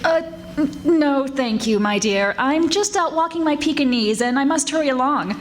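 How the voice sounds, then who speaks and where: A woman's voice: high-pitched, expressive, moderate pace. A woman playing a prim, genteel society lady with a formal, superior manner in an old-time radio comedy. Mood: politely haughty. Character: prim, haughty society lady, politely one-upping. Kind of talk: 1940s radio comedy acting before a live audience. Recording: live stage recording with faint noise.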